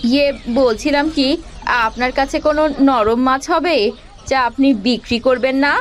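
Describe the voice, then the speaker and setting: Woman playing a high-voiced caring village mother. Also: moderate pace, natural voice. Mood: hesitant. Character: caring hardworking village mother selling greens. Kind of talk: Bengali fairy tale cartoon dubbing.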